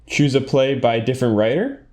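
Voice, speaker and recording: Woman as very low-voiced naive corporate sidekick. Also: fairly quick, a little mellow. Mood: curious, naive. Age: young adult. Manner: credulous, earnest. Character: eager, naive male corporate co-presenter Tom. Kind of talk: comic radio play, scripted sidekick.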